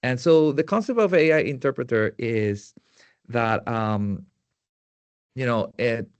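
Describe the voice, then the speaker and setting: A man's voice: medium pitch, smooth, no echo, dull audio. Puerto Rican male presenter, Spanish native, mid voice, hesitant delivery, dry sound. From Puerto Rico. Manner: halting, many fillers and restarts. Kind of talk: tech presentation.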